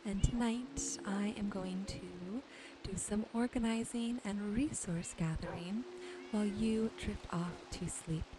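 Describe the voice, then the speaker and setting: A woman gently narrating her gameplay as a sleep ASMR host, soothing and unhurried. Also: medium pitch, background noise. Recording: music behind the voice. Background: soft music, game rain and animal sounds.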